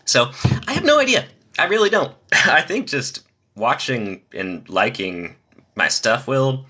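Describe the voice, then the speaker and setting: Lively young man rattling off jokes. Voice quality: speaking low from the diaphragm to spare his nasal voice. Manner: rapid-fire joke delivery, lively. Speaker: streamer. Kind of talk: live-stream joke marathon.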